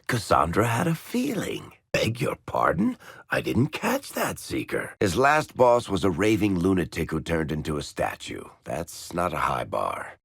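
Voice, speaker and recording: An actor playing a wry, roguish middle-aged storyteller in a video game, with a gravelly, husky American voice and a sardonic, teasing delivery. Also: male voice, low-pitched, huge pitch swings, a little slow, a bit bright, no echo, clean studio sound, exaggerated voice. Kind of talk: video game party banter.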